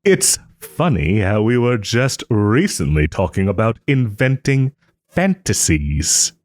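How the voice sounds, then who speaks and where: A man playing a proud, sarcastic nobleman in a scripted roleplay audio drama, with haughty, theatrical phrasing and pointed pauses. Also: fairly low pitch, very animated, no echo, clean studio sound. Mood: indignant. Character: wry, wounded young nobleman lord, sarcastic and proud.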